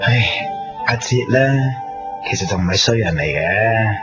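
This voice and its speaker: A man acting a smooth-talking, apologetic young charmer in a Cantonese radio drama, with a Hong Kong accent and a hesitant, coaxing manner. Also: lowish voice, animated, measured pace. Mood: light, casual. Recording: muffled radio broadcast, music behind. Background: background music.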